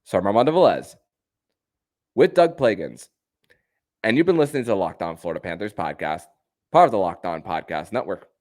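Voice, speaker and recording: A male sports podcast host with a low voice, recorded close and dry. His conversational delivery can be halting, with stammered restarts. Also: expressive, darkish tone, no room sound. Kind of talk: conversational hosting and sign-off.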